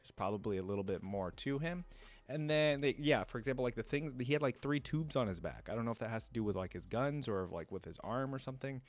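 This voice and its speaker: A man's voice: mid-range voice, lively intonation, fast talker. A man hosting a reaction video with casual, informal commentary, thinking aloud as he goes. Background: game music.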